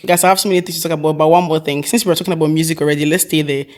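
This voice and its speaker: A woman's voice: sits low, fairly even intonation, very fast. A woman hosting a podcast, talking in a casual group chat style. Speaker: podcast host.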